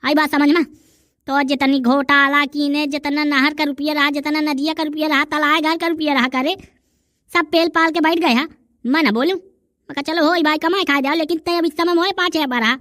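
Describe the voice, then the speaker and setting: A man's voice: extremely high pitch, fairly even intonation, moderate pace, bright, forceful tone, no room sound, studio quality. A man voicing a brash young village tough in a cartoon comedy, scolding and taunting in rustic Bundelkhandi speech. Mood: scolding, threatening.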